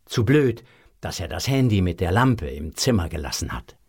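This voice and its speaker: A man narrating a scripted children's audio drama in a measured, suspenseful way. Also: low-pitched, sing-song, a little mellow, breathy edge.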